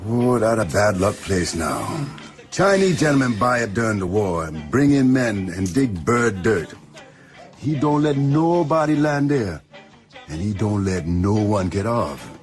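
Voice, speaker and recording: A loyal, easygoing island fisherman and sidekick in a radio drama. He has a Caribbean accent and a folksy, deferential way of talking, with very animated pitch and music playing behind him. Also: a little slow. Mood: ominous.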